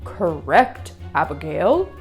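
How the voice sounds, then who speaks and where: A stern monster boss from a children's picture book, acted out by a woman. He is formal and self-important, with slow, emphatic delivery and lively intonation. Light music plays underneath. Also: sits low, pitched below the performer's own voice, full character voice. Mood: instructing. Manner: emphatic, pompous, instructing.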